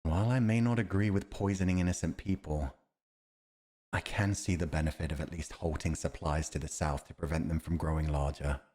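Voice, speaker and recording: A man's voice: rumbling low, fairly even intonation, a little fast, a little mellow, slightly rough. A man playing a loyal, honourable war commander advising royalty in a fantasy audio roleplay, speaking formally and with courtly restraint. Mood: composed.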